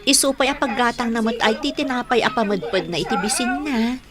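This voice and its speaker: A woman's voice: a little high, brisk, lightly acted. A woman acting a patient young woman in love in a radio drama, with an Ilocano accent. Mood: gentle, placating. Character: young woman in love, patient, hurt by her suspected cheating boyfriend. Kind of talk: Filipino radio drama acting, narration and dialogue.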